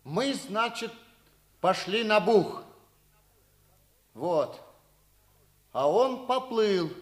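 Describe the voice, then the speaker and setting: Nervous tongue-tied young private, high wobbly pitch, super slow and halting, old radio play. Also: male voice, expressive, full character voice. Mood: timid, flustered. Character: timid, shy young soldier afraid of superiors. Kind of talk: 1950s Soviet radio theatre acting.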